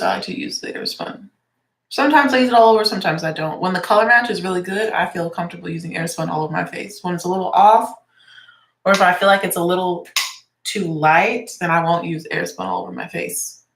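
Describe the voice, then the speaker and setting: A woman's voice: on the low side, a bit bright. Congested woman chatting in an echoey room. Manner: chatty, rambling, lots of "like". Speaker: beauty vlogger and teacher. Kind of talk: casual get-ready-with-me chat.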